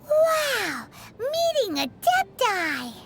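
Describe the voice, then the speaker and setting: A woman voicing a tiny, excitable floating fairy companion in a video game, with a squeaky childlike voice and an exclaiming manner. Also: drawn-out words, music in the background, exaggerated voice. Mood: amazed. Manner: childlike, exclaiming, speaks of herself in third person.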